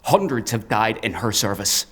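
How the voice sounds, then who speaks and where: An actor playing a sadistic, fanatical villain in a dark fantasy audio drama, with taunting, declamatory delivery and a gravelly voice. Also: male voice, lowish voice, lively intonation, moderate pace. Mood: menacing, taunting. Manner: taunting, declamatory, swings from hushed to screaming. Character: sadistic zealous male villain, menacing, theatrical, fanatical.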